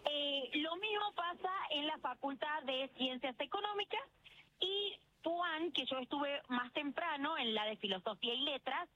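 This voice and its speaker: Bright, high-pitched Argentine woman reporter. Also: animated, normal speed, highs cut off, slight background noise. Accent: Argentine Rioplatense. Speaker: field news reporter. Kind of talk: live on-the-spot radio report and street interview. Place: outside a university building on a city square.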